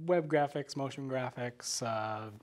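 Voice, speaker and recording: A man's voice: mid-range voice, unhurried, darkish tone. A man chatting casually about a film as a guest, explaining things in a loose, rambling way. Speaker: podcast guest, graphic designer.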